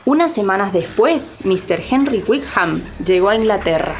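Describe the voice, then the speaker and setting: Female narrator, medium pitch, documentary-style scripted radio narration, slightly dull recording. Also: expressive, normal speed, slight background noise.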